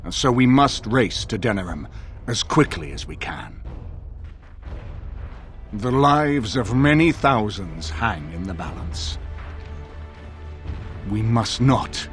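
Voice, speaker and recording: A man acting a solemn noble military leader in a video game, speaking gravely and formally. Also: lowish voice, animated, slow pace, long pauses, faint music behind. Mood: grave, resolute.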